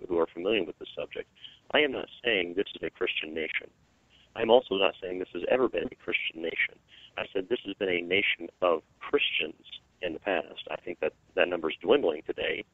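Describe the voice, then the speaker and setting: Man, very low and hoarse, radio phone-in guest, emphatic with restarts, thin phone line. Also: no echo. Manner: earnest, emphatic, with frequent restarts. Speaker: radio show guest, evangelist. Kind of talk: call-in radio interview. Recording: narrow phone line, dry.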